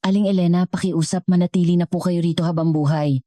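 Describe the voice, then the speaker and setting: A woman's voice: fairly low pitch, fairly even intonation, quick pace, mellow, dry recording, pitched above the performer's own voice, close to an everyday voice. A woman with a Filipino accent voicing a wealthy, commanding elderly grandfather, solemn and emphatic, in a dramatic story. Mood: grateful, insistent.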